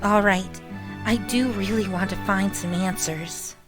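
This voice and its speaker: A woman's voice: medium pitch, a little slow, close to an everyday voice. A woman voicing a cautious, polite young hedgehog in a comic dub, reserved and inquisitive. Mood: apologetic. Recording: prominent background music.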